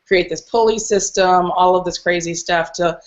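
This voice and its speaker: A woman's voice: on the low side, fairly even intonation, moderate pace, lo-fi sound. A woman speaking as a dog rescuer and podcast guest, telling stories casually and earnestly. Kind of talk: casual interview storytelling.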